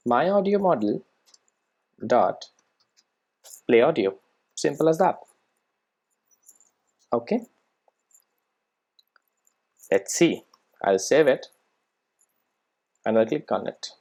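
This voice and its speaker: A man giving an unscripted step-by-step software tutorial as an instructor, often checking that the listener follows with short tag questions. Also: mid-range voice.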